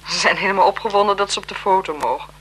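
Bright-voiced, flirtatious, elegant marquise. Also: female voice, medium pitch, rapid-fire, breathy edge, low-quality recording. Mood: coy, teasing. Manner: coy, teasing, formal and genteel. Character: elegant, flirtatious marquise, languid and self-assured. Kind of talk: 1970s Dutch radio drama acting.

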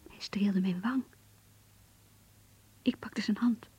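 An actress playing an earnest, lovestruck young woman confiding her diary in a radio play, in a hushed, breathy voice. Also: mid-range voice, slow pace. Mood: yearning. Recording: muffled, lo-fi radio play recording.

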